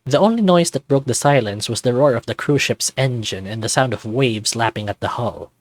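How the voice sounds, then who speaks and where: A man's voice: medium pitch, expressive, brisk, no echo. A man reading a visual novel aloud as its player, mixing scripted narration with casual asides.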